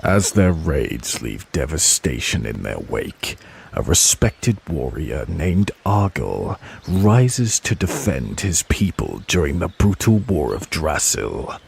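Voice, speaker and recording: A man's voice: a bass voice, big pitch swings, slow, creaky, slightly rough. A man narrating a fantasy audio drama in an ominous, dramatic storytelling manner.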